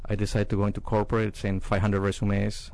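A man's voice: on the low side, monotone, brisk, gravelly, a little mellow, no room sound. A middle-aged man with a Colombian accent, a native Spanish speaker, answering questions as a business owner in an interview. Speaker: interview guest, chief executive.

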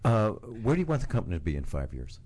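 A man's voice: on the low side, big pitch swings, fast talker, darkish tone, breathy edge. A man interviewing a business owner, asking direct, probing questions.

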